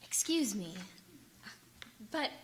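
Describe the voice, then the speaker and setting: A man's voice: very high voice, big pitch swings, very slow, a little hiss or hum, voice pushed up, exaggerated voice. A man voicing an eager, gushing young woman who has just been hired.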